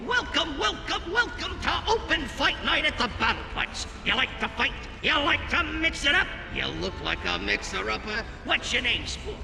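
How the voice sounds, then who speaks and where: An actor playing a manic, pushy hologram greeter at a video game fight club, with wisecracking huckster patter. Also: male voice, very high voice, sing-song, moderate pace, vocal fry, few pauses, full character voice. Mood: jokey, salesy. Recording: echoey hall with music behind.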